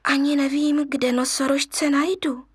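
A woman's voice: high voice, level tone, no room sound, clean studio sound, pitched above the performer's own voice, close to an everyday voice. A woman voicing a small boy lion cub in a storybook, eager and pleading like a child. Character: little male lion cub, eager future king.

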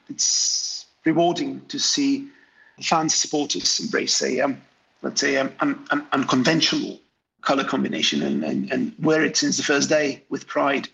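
Gravelly man, halting speech. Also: fairly high pitch, a bit bright, muffled recording. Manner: hesitant, many fillers and restarts. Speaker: graphic designer, interview guest. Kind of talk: relaxed interview answers.

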